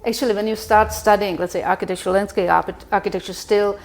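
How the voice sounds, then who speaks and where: A woman's voice: mid-range voice, fairly even intonation, fast. A woman hosting a podcast, asking questions in a chatty, encouraging conversational interview style. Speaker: podcast host.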